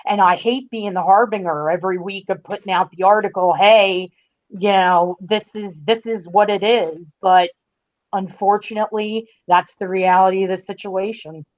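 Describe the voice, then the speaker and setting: A woman's voice: medium pitch, fairly even intonation, normal speed. A woman in a casual podcast discussion, explaining things emphatically as a guest critic and stretching out stressed phrases. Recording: dull, lo-fi call audio.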